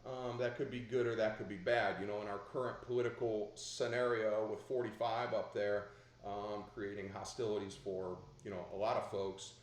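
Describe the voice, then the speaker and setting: A man's voice: fairly low pitch, level tone, moderate pace, reverberant. A man hosting a video call interview, asking long rambling questions with many restarts. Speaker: host.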